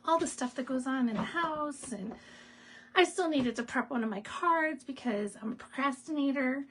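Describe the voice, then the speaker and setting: A woman hosting a live stamping demo, raspy and clearing her throat from asthma, chatting in a rambling way with laughs and asides. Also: high voice, moderate pace, a bit bright, slight background noise. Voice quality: gravelly, husky. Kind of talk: casual live craft demo chat.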